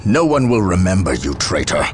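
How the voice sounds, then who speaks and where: A man playing a stern, battle-hardened space marine captain in a video game cutscene, grave and deliberate. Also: low voice, sing-song. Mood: grim defiance. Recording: dramatic music behind the voice.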